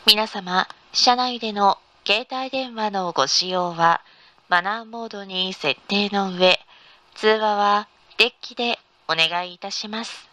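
Bright-voiced woman making formal train announcements. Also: medium pitch, unhurried, no echo. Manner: formal, polite. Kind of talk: scripted in-car announcement. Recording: noisy train ambience recording.

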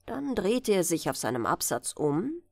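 Female audiobook narrator for bedtime fairytales, unhurried, animated melody, close dry sound. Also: on the low side, gravelly, no echo, soft background music. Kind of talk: expressive bedtime fairytale reading.

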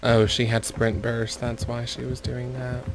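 A man commentating over gameplay in a mostly monotone voice, muttering urgings at the screen. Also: medium pitch, measured pace. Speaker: gaming video creator.

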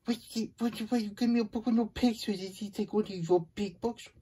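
Puppet story-time host: childlike, grumbly hedgehog played by a man, husky and mellow, stammering, fretful asides. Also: high-pitched, steady tone, a little fast. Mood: exasperated. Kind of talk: puppet read-aloud with chatty asides.

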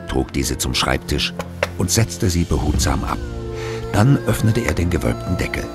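Very deep, gravelly narrator. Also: male voice, exaggerated voice. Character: grave male narrator of a horror thriller. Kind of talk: scripted audio drama narration.

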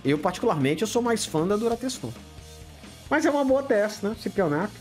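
A man's voice: high voice, very animated, a little fast, soft background music. A man in his fifties with a Brazilian Portuguese accent, hosting a casual live stream from a home studio, answering viewer questions bluntly and greeting people by name. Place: home studio room lit with colored LEDs.

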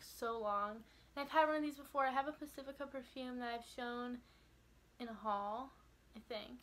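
High-voiced young woman vlogging, chatty. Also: fairly bright tone, a little hiss or hum. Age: young adult. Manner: chatty, rambling, trailing off into asides. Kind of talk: casual chat to camera, showing shopping. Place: small bedroom, indoors.

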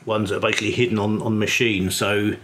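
Low-pitched man giving a casual demo. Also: flat delivery, fast, fairly bright tone. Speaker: app developer demonstrating his program. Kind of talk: casual screen demo narration.

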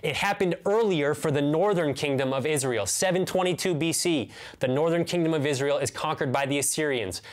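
A man's voice: a little high, a little fast, few pauses. An American man in his thirties giving a sermon as a pastor, earnest and emphatic, repeating key phrases and asking rhetorical questions. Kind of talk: sermon, teaching to camera. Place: indoors, small room with bookshelves.

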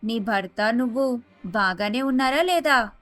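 High-voiced, inquisitive woman friend voiced by actress. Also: expressive, a little slow, fairly bright tone, soft background music. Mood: concerned. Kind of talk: animated moral story dubbing.

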